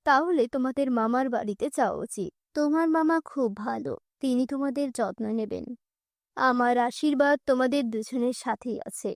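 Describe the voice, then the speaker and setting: A loving mother on her deathbed in a cartoon fairy tale voiced by a woman storyteller. She sounds tender, solemn and resigned, pitched lower than the narration, in a dry recording. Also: normal speed, dry recording.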